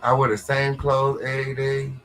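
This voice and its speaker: A man's voice: mid-range voice, monotone, normal speed, fairly bright tone. A man hosting a live stream, mocking the guest in crude terms and repeating his catchphrases. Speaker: host.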